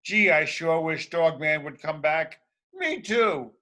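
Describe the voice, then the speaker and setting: An excitable storybook cop, as a man reading aloud puts him on, calling out and scolding in exasperation. The voice is bright, forceful and fairly high. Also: slow pace, full character voice. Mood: excited. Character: exasperated, excitable cartoon cops and townsfolk.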